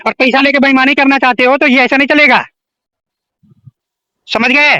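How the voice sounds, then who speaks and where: A man in a heated phone argument, insistent and quick to talk over the other person. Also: very high-pitched, steady tone, sharp tone, no room sound. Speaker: disgruntled music client on a phone call. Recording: dull, lo-fi phone call recording. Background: another man arguing on the line.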